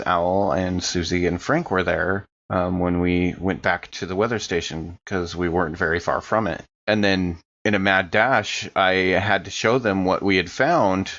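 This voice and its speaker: A man playing a shaken, apologetic survivor in an improvised horror audio drama, speaking haltingly. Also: deep voice, fairly bright tone, dull audio. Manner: halting, hesitant.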